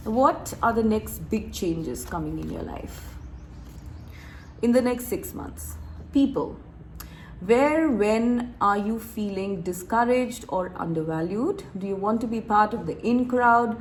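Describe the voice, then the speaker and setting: A woman recovering from a cold, reading tarot to her viewers in a chatty, relaxed way, laughing and clearing her throat often. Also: medium pitch, takes it slowly, a little hiss or hum, poor audio. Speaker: tarot reader. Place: room with door open, wind chimes outside.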